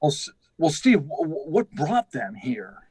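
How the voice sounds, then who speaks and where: A man's voice: a little high, wildly swooping pitch, measured pace, darkish tone, husky, no echo, exaggerated voice. An actor playing a skeptical, exasperated, no-nonsense man in an old-time radio science fiction drama. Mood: prodding. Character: skeptical, exasperated male friend of a pilot, no-nonsense.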